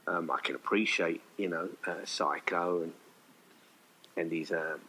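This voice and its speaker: A man's voice: low voice, fairly even intonation, a little slow, bright, forceful tone, breathy edge, low-quality recording. A middle-aged man chatting casually as an interview guest, talking in a rambling, conversational way that often circles back. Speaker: guest filmmaker being interviewed.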